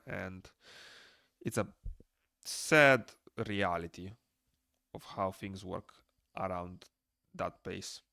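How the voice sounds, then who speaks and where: A man's voice: on the low side, vocal fry, fairly bright tone, clean tone, no echo, studio quality. A young man from Moldova with a Moldovan accent, chatting casually with his viewers while he draws on a live stream, reading their messages aloud and answering them. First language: Romanian. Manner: rambling, reads chat aloud and answers it. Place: small room indoors.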